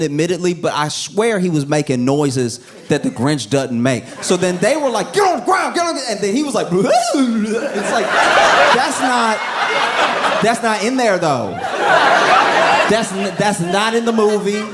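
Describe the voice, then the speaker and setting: A man doing a stand-up routine, telling a story with animated bursts and acting out scenes. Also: sits high, moderate pace. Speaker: stand-up comedian. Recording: live stage recording with audience noise. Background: audience laughter.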